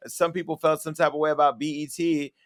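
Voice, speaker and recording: A man hosting a talk show, telling gossip stories in a chatty way with quick asides. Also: on the high side, little pitch movement. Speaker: host. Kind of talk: casual talk-show chat.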